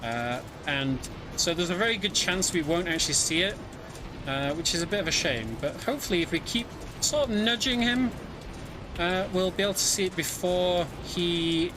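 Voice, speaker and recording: A man's voice: sits high, lively intonation, moderate pace, noisy background. A man giving casual live commentary as he plays a video game, explaining the story as he goes. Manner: explanatory, narrates the story while playing. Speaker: speedrunner commentating own game run.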